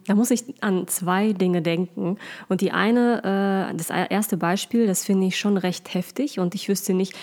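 A woman's voice: lowish voice, darkish tone. Woman co-hosting a podcast. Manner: teasing, sums up points, hesitant restarts. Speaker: podcast co-host. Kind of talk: casual podcast chat.

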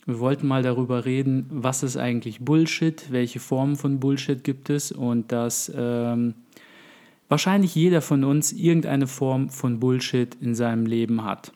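A man hosting a podcast, a little hoarse from a cold, explaining his ideas at length with many pauses and fillers. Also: mid-range voice, dark tone. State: under the weather, voice a bit hoarse. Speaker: podcast host. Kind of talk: casual podcast chat.

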